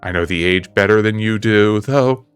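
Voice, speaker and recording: A man voicing a proud, vain young gentleman who is cold, mocking and scornful, speaking in a hard, deliberate manner for an audiobook. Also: on the low side, clear, resonant tone, faint music behind, voice pushed down, natural voice.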